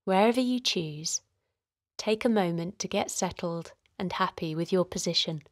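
A woman gently guiding a children's relaxation in a soothing, encouraging way, her voice softly breathy and hushed at times. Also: on the low side, moderate pace, dry recording, clean studio sound. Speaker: host of children's guided relaxation. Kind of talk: guided meditation narration for children.

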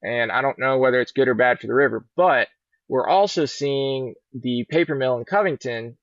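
A middle-aged man, a fishing guide, chatting in a relaxed, rambling way on a podcast, heard over a video call from a large indoor showroom. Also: medium pitch, normal speed, no echo. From Virginia. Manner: easygoing, rambling storyteller. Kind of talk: casual podcast interview. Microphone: laptop webcam on a video call. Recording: muffled dull video call audio.

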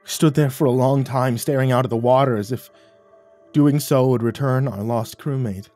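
A man narrating a first-person horror story in a tense, halting way with stammered restarts. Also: medium pitch, fairly even intonation, warm tone. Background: faint music.

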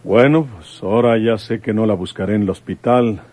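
Actor as husky-voiced rustic country lawman. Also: lowish voice, darkish tone, lightly acted. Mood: earnest. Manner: folksy country speech, halting. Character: rustic rural police commissioner, earnest, quick to anger. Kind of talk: Mexican radio soap acting.

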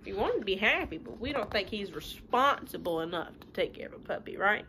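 Animated, bright-voiced woman reading to children. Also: medium pitch, brisk. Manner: chatty, sing-song, explaining things simply to children. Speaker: teacher reading a picture book to children. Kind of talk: animated read-aloud with casual asides. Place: living room at home. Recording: home recording with light room noise.

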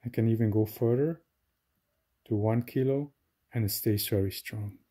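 A man giving a casual hands-on demonstration at a table, talking haltingly with many fillers and self-corrections. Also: fairly low pitch, warm tone. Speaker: DIY video maker. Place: indoors at a table.

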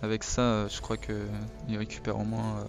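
A hoarse French man casually commentating his own game, hesitant, with many pauses and fillers. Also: on the low side, music in the background. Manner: relaxed, hesitant with many "euh". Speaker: gamer commentating his play. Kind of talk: casual let's play commentary.

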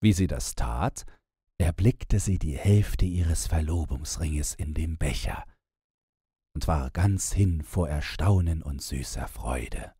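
A man's voice: a bass voice, slow pace, dark tone, husky, no echo, studio quality. A man narrating a fairy tale as a scripted bedtime audiobook.

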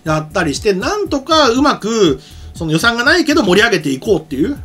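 Very fast, animated man chatting. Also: high-pitched, husky, soft background music. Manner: rambling, self-correcting, explanatory. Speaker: anime commentator and show host. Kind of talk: casual chat explaining anime. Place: small indoor streaming studio. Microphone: desk microphone.

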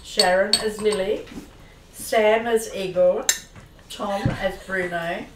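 A woman's voice: mid-range voice, steady tone, slow. A woman reading scripted narration for a radio play. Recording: distant, roomy, noisy with clicks.

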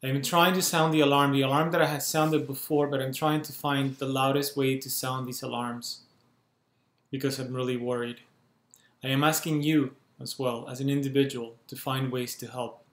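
A man in his thirties from Cuba, speaking to a webcam at home and making an urgent, earnest appeal in measured phrases. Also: medium pitch, steady tone, a bit bright. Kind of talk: earnest direct-to-camera appeal. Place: small room at home, indoors. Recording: roomy echo from a bare room.

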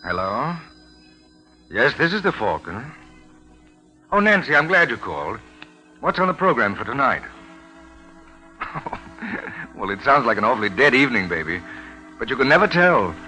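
An actor playing a suave, steady detective in a 1940s radio crime drama. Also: male voice, mid-range voice, moderate pace, pauses often, faint music behind, rough recording. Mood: flirtatious.

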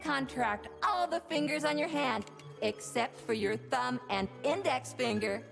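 A woman's voice: high voice, measured pace, vocal fry, fairly bright tone, soft background music, exaggerated voice. A woman voicing a cheerful, unflappable robot guide in a video game, formal and courteous as she instructs. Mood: polite, instructional. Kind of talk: scripted video game announcements.

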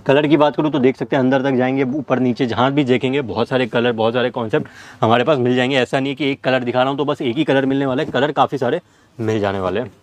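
A young man walks a camera through stacks of cloth in a warehouse. He talks very fast, reeling off fabric types in steady salesman patter, at an ordinary pitch for a man. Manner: rapid salesman patter listing items. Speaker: fabric seller. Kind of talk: product showcase walkthrough.

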